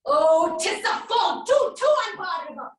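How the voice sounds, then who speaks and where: A woman playing a vengeful, mocking warrior queen in a classical Shakespearean stage monologue, with theatrical, taunting, drawn-out delivery. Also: very high-pitched, big pitch swings. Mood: scornful, vengeful. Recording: echoey room, distant microphone.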